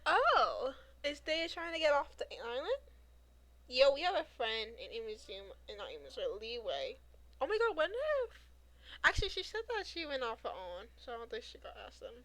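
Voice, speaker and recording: A woman's voice: high-pitched, big pitch swings, fairly quick, fairly bright tone, low-quality recording. A woman game streamer chatting casually in a giggly, rambling way. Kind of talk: casual chat while live streaming.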